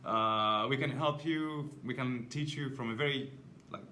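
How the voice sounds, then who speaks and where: A man's voice: medium pitch, a little slow, sharp tone, a little hiss or hum. A man from Greece, a native Greek speaker, talking casually in English as an English teacher, with many fillers and restarts. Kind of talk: casual conversation.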